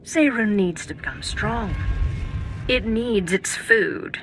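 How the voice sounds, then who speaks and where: A cold, ambitious Sith acolyte, bright and sharp-edged in tone, calculating in every line. Faint low rumble behind her game dialogue. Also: animated, slow pace. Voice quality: cool, calculating. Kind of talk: video game voice acting.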